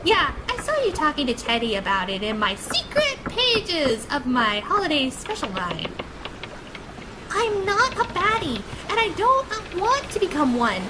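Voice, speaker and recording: A woman's voice: high-pitched, huge pitch swings, measured pace, heavy background noise, full character voice. A woman voicing a brash, chatty, sarcastic young antiheroine girl in a comic dub. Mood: flippant, negotiating.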